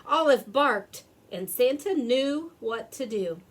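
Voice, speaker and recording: A woman reads a children's story aloud in a fairly high voice. Her pitch moves up and down in a very lively way, and she draws out words expressively for young listeners. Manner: expressive, with drawn-out words for effect. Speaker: storybook reader. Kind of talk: animated children's read-aloud narration.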